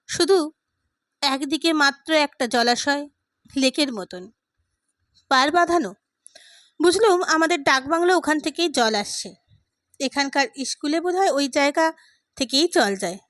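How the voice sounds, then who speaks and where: Woman, high voice, scripted story narration, audiobook reader, dry recording. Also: fairly bright tone, no room sound. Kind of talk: scripted short-story narration with acted dialogue.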